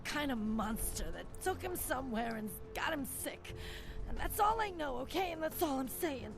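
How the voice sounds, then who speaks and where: A jittery, paranoid homeless woman from a video game. Her voice is fairly high, defensive and folksy, with music clearly behind it. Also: expressive, normal speed. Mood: compliant, nervous. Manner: defensive, agitated, folksy grammar. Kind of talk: video game character acting.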